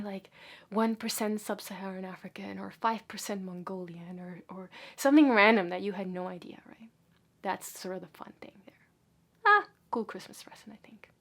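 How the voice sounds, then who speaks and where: Chatty woman with loud bursts and hushed asides. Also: mid-range voice. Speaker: vlogger. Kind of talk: casual opinionated monologue to camera.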